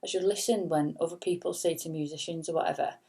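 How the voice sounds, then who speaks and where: A woman and singer with a soft, low voice, rambling casually in a late-night vlog with lots of fillers and the odd swear word. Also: fairly even intonation, fast talker, vocal fry. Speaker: singer and vlogger.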